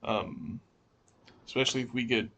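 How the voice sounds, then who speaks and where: Over faint background noise, a man with a medium-pitched voice co-hosts a podcast. He chats casually, offering thoughts and putting questions to his partner. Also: fairly even intonation, normal speed, a bit bright. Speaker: podcast co-host. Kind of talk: casual discussion, posing questions.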